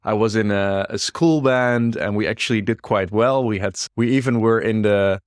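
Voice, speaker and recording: A young Dutch man with a Dutch accent, a startup founder chatting as an interview guest, relaxed and laughing softly. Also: fairly low pitch, normal speed, clear, resonant tone, no echo, clean studio sound. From Netherlands. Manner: relaxed, frequent restarts and soft laughs. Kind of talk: casual interview chat.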